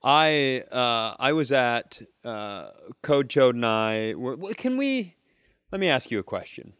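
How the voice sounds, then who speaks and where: Male podcast co-host, casual and self-deprecating, animated melody, close dry sound. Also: medium pitch, slow, smooth, no echo. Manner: riffing, profane, self-deprecating. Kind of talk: bantering casual comedy chat.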